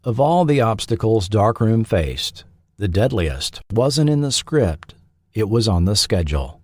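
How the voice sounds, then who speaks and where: A man's voice: fairly low pitch, very animated, unhurried, a little mellow, no room sound, clean studio sound. A man narrating a scripted documentary as its host.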